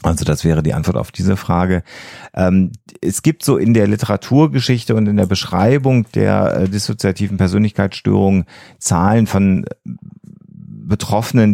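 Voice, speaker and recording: A man explaining things as a podcast host in a halting way, with frequent hesitations. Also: on the low side, vocal fry, mellow, dry recording, clean studio sound. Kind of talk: explanatory science podcast talk.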